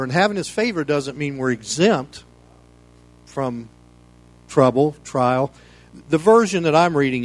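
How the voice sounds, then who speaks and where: A man's voice: mid-range voice, rough recording. Folksy American man teaching like a pastor. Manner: folksy, rambling, peppered with "you know". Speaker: pastor leading a Bible study. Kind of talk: informal sermon, conversational teaching.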